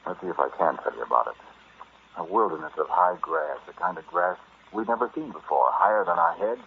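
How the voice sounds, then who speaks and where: Deep-voiced, brooding explorer, vintage radio. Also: male voice, animated, gravelly. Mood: brooding, dramatic. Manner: dramatic, brooding, halting delivery. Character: earnest male explorer recounting a dreadful jungle discovery. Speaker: narrator and lead character. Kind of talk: old-time radio horror drama acting. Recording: muffled, noisy vintage radio recording.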